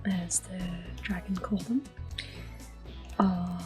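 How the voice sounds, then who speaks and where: Slow, whispering woman doing ASMR commentary. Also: fairly low pitch, steady tone, soft background music. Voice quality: hushed, breathy whisper. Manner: gentle, slow, unhurried. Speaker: gameplay video host. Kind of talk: soft-spoken ASMR game commentary.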